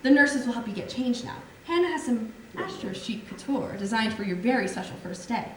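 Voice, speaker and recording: A woman's voice: on the high side, lively intonation, brisk, natural voice. An actress in a staged reading playing a reassuring, upbeat woman doctor of a Martian colony. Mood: proud, welcoming. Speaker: musical theatre actress. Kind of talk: staged reading, theatrical dialogue. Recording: echoey theatre, distant mic, faint noise.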